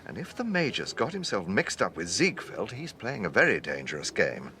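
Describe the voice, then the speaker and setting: An actor playing an urbane gentleman police detective in a radio drama, with a British accent and a courteous, probing, dryly amused manner. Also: fairly low pitch, big pitch swings, normal speed, a little hiss or hum. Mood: reflective.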